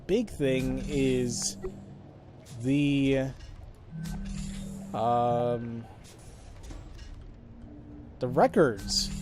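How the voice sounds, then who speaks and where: A man giving casual let's play commentary, thinking aloud in a rambling, self-deprecating way and mumbling through text he reads. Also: medium pitch. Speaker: let's player.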